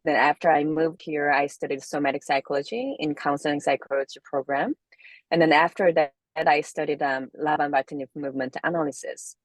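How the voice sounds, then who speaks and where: A woman's voice: sits low, steady tone, fairly quick, no room sound, poor audio. A woman from South Korea with a Korean accent, speaking reflectively as an interview guest and often searching for words. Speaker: interview guest, somatic movement therapist.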